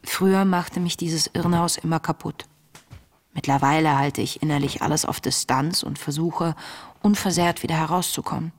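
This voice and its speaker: An actress playing an earnest, introspective young woman reading her wartime diary in a radio play, measured and intimate. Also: sits low. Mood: weary detachment. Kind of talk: radio drama diary monologue. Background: music.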